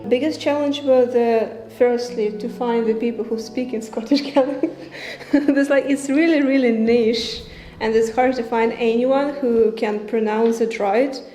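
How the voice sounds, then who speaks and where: A young woman, a film director answering questions in a halting way, searching for words with many fillers. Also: on the high side, fairly even intonation, mellow. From Ukraine. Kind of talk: festival Q&A answers. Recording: roomy with faint music behind.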